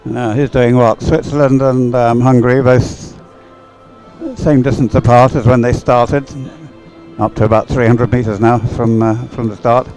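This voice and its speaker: Halting male live race commentator, music behind. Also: medium pitch, little pitch movement, a little mellow, rough recording. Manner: halting, thinking aloud as he watches. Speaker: sports commentator.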